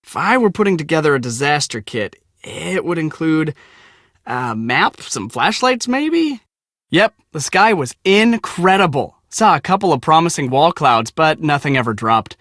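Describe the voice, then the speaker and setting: A man's voice: on the high side, big pitch swings, a little slow, fairly bright tone, no echo, studio quality. An actor playing an easygoing young male storm-chasing photographer in a video game, breezy and boyish. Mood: casual, helpful. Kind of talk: video game voice acting, casual.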